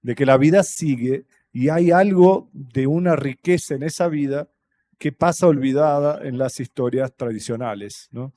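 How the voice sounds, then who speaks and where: Man, Brazilian accent, native Portuguese speaker, mellow tone, halting and reflective, panel guest. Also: mid-range voice. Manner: thoughtful, halting, with many fillers and restarts. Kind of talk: festival panel talk. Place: stage at a public festival panel.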